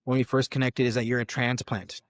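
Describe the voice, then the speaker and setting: A man's voice: medium pitch, flat delivery, a little fast, no room sound, studio quality. A man hosting a podcast interview, asking questions in an easygoing way, with lots of fillers. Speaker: podcast host. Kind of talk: casual interview talk.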